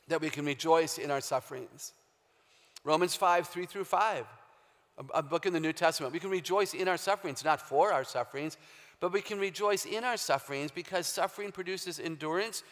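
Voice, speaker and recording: A woman with a very low, deep voice giving an emphatic, humorous faith talk as a guest speaker, quizzing a live audience in a large hall. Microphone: handheld or stage microphone. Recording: long hall reverb, live stage talk.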